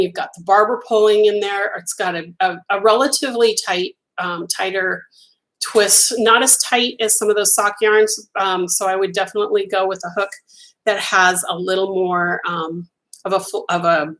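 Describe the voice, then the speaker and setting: A woman's voice: mid-range voice, a bit bright, rough recording. A middle-aged woman whose first language is Italian, chatting enthusiastically through a casual live-stream unboxing, with lots of ums. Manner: chatty and enthusiastic, gushing over colors, many ums. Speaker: crochet designer hosting a live stream.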